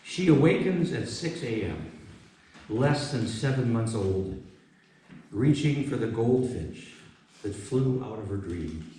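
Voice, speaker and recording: Low-voiced man in a very echoey room. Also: warm tone. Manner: hesitant, halting, reflective. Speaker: poet presenting his work. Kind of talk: informal live talk and poetry reading. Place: book launch event in an echoey room. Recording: echoey room, distant mic, faint noise.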